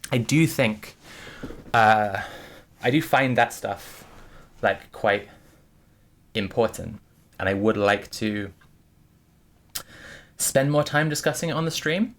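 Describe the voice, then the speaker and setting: A woman streaming, talking casually and thoughtfully to her chat, with many restarts and fillers. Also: a bass voice.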